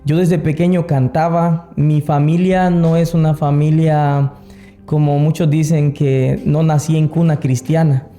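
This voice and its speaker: A Salvadoran-accented man gives a personal testimony as an interview guest. His voice is very soft-edged and dark, pitched a little high for a man. Faint music plays underneath. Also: little pitch movement, a little slow. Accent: Salvadoran Spanish. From San Salvador, El Salvador. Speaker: interview guest, worship singer. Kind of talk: personal testimony, casual storytelling.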